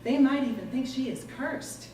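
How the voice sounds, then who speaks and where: A woman reading a literary story aloud in a measured, theatrical way at a live performance. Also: high-pitched. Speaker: story reader. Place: live performance in an arts venue. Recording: roomy live venue, distant mic, music behind. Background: loud live guitar music.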